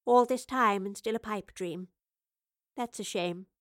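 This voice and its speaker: A woman's voice: mid-range voice, measured pace, no room sound, clean studio sound, lightly acted. A woman voicing a polite, inquisitive lady professor in an audio story, with formal, precise, old-fashioned courtesy. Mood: disappointed.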